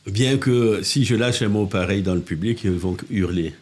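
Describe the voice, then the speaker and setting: Older guy, mayor, husky lowish voice, tells stories in bursts w/ restarts. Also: normal speed. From Congo. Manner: animated anecdotes with restarts and asides. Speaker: mayor, political guest. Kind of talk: casual interview storytelling. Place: living-room podcast set.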